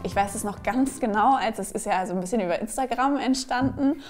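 A woman's voice: on the high side, fairly quick, soft background music. A woman in her twenties from northern Germany who works as a physiotherapist and on a farm, talking openly in an interview. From Schleswig-Holstein, Germany. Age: 20s. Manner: chatty and open, with small fillers. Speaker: physiotherapist and farmer. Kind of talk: casual interview talk.

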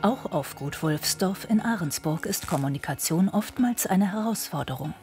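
A woman narrates a television news feature in a steady, measured voice that sits a little low for a woman. A clear music bed plays underneath her reading. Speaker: reporter.

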